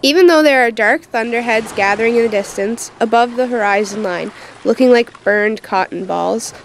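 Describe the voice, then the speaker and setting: A woman acts out a haunted teenage girl in a radio drama. Her voice is on the high side, youthful and colloquial, as she confides eerie nightmares. There is faint noise behind her. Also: normal speed, close to an everyday voice, rough recording. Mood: dreamy, chatty. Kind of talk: first-person radio drama narration and dialogue.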